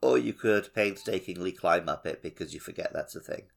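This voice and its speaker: A man's voice: low voice, brisk, gravelly. A man co-hosting a casual gaming podcast with wry, self-deprecating banter. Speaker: podcast co-host. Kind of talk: casual banter about video games.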